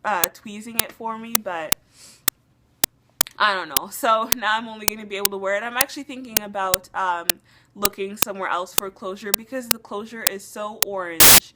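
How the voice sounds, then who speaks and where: A woman's voice: medium pitch, moderate pace, heavy background noise. A young woman talking casually to her camera about her hair, chatty, with lots of fillers and restarts, a little sick and losing her voice. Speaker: beauty vlogger. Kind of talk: casual rambling hair review.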